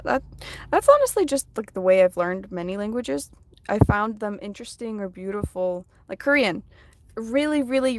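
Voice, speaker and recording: A soft-spoken woman whose first language is Russian chats casually during a live drawing stream. She reads viewers' comments aloud and answers them in a friendly, unhurried way. Her voice sits at a middling pitch and is picked up by a phone. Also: dry recording. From United States.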